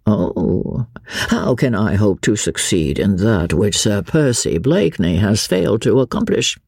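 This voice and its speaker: Narrator as a quietly sarcastic, gravelly French schemer. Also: deep voice, big pitch swings, a little slow, no room sound, studio quality, heavily acted. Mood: sly gallantry. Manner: quiet, smoothly gallant, dryly ironic. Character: shrewd, sarcastic, courtly French gentleman schemer.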